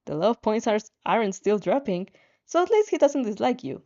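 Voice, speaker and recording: A woman reading fan fiction aloud in an animated way, now and then stumbling and rereading a phrase, on a slightly dull recording. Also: on the high side, normal speed. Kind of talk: animated story reading with asides.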